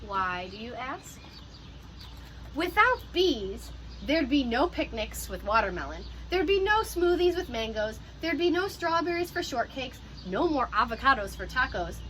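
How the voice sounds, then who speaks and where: Bright, high-voiced female preschool teacher. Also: measured pace, slight background noise. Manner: animated, encouraging, speaking directly to young children. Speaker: pre-K teacher, read-aloud host. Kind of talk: children's picture book read-aloud with teacher asides.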